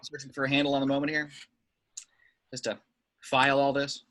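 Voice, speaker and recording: Man playing a bright-voiced, blunt veteran secret agent. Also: mid-range voice, completely flat delivery, brisk, a little hiss or hum, lightly acted. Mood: wry, calm. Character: gruff, blunt veteran male secret agent, coolly threatening. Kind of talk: amateur at-home table read of a film script.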